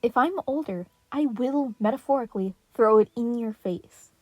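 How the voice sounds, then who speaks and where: A woman voicing a smug, clever teenage boy turtle who speaks in a deadpan way. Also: fairly high pitch, moderate pace, no echo, soft background music, close to an everyday voice.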